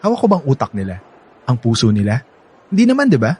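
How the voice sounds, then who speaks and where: Cocky young playboy Percy, mid-pitched. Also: male voice, huge pitch swings, darkish tone, husky. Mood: nonchalant. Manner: teasing, smug, drawn-out playful delivery. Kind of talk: radio drama acting.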